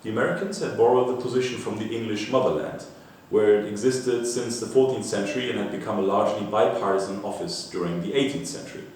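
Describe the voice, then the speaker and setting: A man reading a scripted popular history narrative aloud in a measured way, like an author presenting an excerpt, with an occasional stumble. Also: fairly low pitch, a little mellow. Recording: echoey room, distant mic, faint noise.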